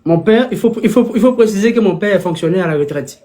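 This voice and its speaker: A man with a high voice speaks earnestly as a guest. He repeats whole phrases to drive his points home, in a steady, insistent way. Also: quick pace. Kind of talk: interview over a call.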